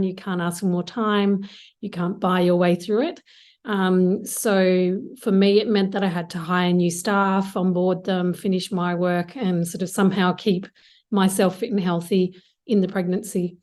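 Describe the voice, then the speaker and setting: A woman's voice: on the low side, a little mellow. A woman with an Australian accent, a guest on an interview podcast, answering at length in long, listing sentences. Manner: discursive, talks in long lists of clauses. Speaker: guest, marketing executive.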